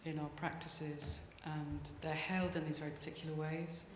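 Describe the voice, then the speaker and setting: A woman making a calm, reflective comment at a symposium. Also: sits low, moderate pace, slight background noise. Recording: echoey hall with long reverb, clear ambience.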